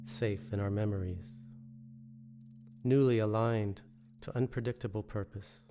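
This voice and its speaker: A man reciting his own poetry in a measured, deliberate way, pausing between phrases. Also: lowish voice, steady tone, music in the background. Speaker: poet reading own work. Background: musical score.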